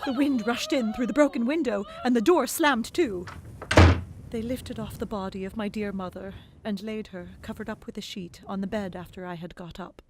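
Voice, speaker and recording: A woman narrating a gothic novel in the first person, expressive and dramatic, dropping to whispers in the hushed passages. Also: mid-range voice, normal speed, heavy background noise.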